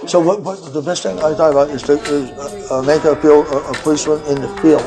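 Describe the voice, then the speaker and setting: A man's voice: on the high side, fairly even intonation, fast talker. A man giving a rambling, impassioned personal account, stammering and repeating words in an agitated, insistent way. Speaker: amateur filmmaker telling his own case. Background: music.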